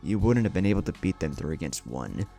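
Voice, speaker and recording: Man reading as a clipped, low-voiced ninja woman. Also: sing-song, fast, creaky, mellow, faint music behind, heavily acted. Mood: clinical, flat. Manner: clipped, clinical. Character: stern, pragmatic young female rogue ninja.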